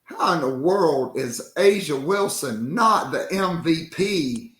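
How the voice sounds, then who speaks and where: Hoarse male sports podcast host, slow. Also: a little high, expressive, roomy sound, a loud crowd. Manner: loose and joking, slow drawn-out delivery. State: hoarse, worn-out voice. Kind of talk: casual sports talk.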